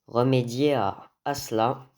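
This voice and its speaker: Male gaming host, medium pitch, hesitant football game commentary with frequent mid-phrase pauses. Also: fairly even intonation, a little mellow.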